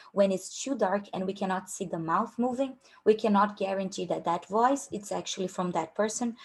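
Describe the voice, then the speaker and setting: Young Brazilian-accented woman coaching, casual workshop talk, lots of fillers. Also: mid-range voice. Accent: Brazilian Portuguese. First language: Portuguese. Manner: informal, many fillers and restarts. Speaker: career coach.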